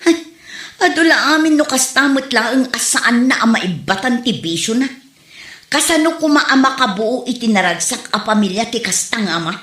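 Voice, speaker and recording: A woman's voice: on the high side, lively intonation, moderate pace, fairly bright tone. A woman acting a practical older relative, kind but bluntly disapproving, in a comedy drama recorded in an echoey room. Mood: stern, disapproving.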